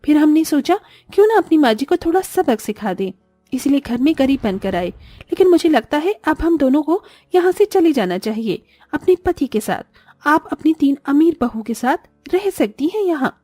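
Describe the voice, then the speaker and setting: A woman's voice: high-pitched, big pitch swings, normal speed, mellow, dry recording, soft background music. A woman voicing an earnest, polite young daughter-in-law in a cartoon story. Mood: earnest, firm. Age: young adult. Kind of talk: Hindi cartoon morality story acting.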